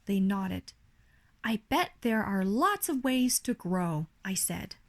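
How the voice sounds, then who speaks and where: A woman with a Chinese accent reads a children's picture book aloud expressively, as a parent would to a young child. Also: mid-range voice. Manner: slow, animated storytelling for a young child. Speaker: parent reading a bedtime story to a child.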